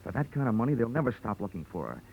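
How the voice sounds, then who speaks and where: An actor playing a wry, hard-boiled insurance investigator with an American accent and deadpan wit, in 1940s radio detective drama style. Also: male voice, mid-range voice, racing, vocal fry, husky, phone-quality sound, heavily acted. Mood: persuasive. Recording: old 1940s radio broadcast recording.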